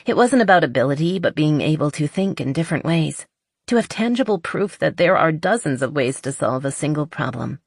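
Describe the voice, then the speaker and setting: A woman's voice: low-pitched, expressive, brisk, creaky, no echo, full character voice. Lady narrator doing a cheery artsy girl in love, chatty and keen, romance audiobook, dry sound. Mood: warm, explaining. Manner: chatty and enthusiastic. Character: cheerful, eager, artsy young woman in love. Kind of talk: audiobook character dialogue.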